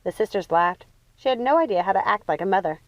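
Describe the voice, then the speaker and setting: Woman narrating a storybook, really expressive pitch, close dry sound. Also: fast, no echo, rough recording. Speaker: audiobook reader.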